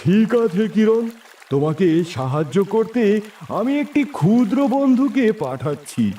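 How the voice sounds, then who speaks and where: A man's voice: sits high, sing-song, moderate pace, mellow, slight background noise. A man voicing a kindly elder-brother turtle in a fairy-tale cartoon, speaking in a reassuring, coaxing manner. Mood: helpful, assured. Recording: distant voice with music bed.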